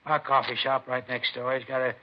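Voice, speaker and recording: Guy doing a chatty hotel clerk in old 50s radio drama, mid pitch, bit hesitant, muffled sound. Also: level tone, a little fast, heavy background noise, lightly acted. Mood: unhurried, helpful. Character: casual, talkative male hotel desk clerk. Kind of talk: 1950s radio drama acting.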